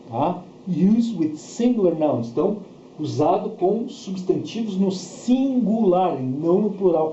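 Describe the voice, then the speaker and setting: Man, Brazilian accent, language teacher, soft dark tone, repetitive didactic delivery, echoey room, muffled audio. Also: on the high side. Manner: didactic, often repeats and checks with short tag questions. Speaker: English teacher. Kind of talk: bilingual grammar lesson. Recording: dull, roomy sound with faint noise.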